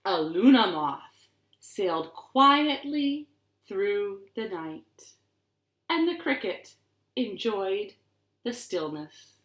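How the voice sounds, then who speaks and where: A woman reading stories and rhymes aloud to young children in an expressive, deliberate way, recorded in a living room. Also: mid-range voice. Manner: expressive, deliberate, asks the listeners questions. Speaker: children's librarian storyteller. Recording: roomy, echoing living-room sound.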